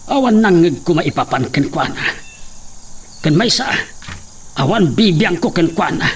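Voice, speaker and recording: A man playing a grumbling, wary old working man with a creaky voice in a radio drama. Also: fairly high pitch, lively intonation, a little slow, slight background noise. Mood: weary, grumbling. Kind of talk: Ilocano radio drama acting.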